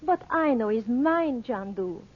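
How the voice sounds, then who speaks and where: Husky, high-voiced princess on tinny radio. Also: female voice, animated. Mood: grave, confiding. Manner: formal, halting, breathlessly earnest phrasing. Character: anxious, devoted exotic Egyptian princess, melodramatic heroine. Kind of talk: 1940s radio serial melodrama acting. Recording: tinny, band-limited vintage radio recording.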